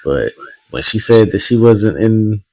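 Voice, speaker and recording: A man's voice: lowish voice, lively intonation, a little slow, darkish tone. A man co-hosting a podcast, chatting casually and teasing his co-host in playful banter. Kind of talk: casual chat.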